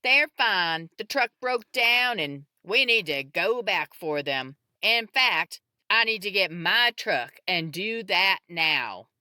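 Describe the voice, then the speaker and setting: Woman putting on a folksy Texas man, bright-toned. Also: medium pitch, lively intonation, slow, hardly any pauses, no room sound, clean studio sound, heavily acted. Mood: apologetic. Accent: Texas drawl. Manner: polite, folksy. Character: friendly, apologetic rural Texan neighbor man.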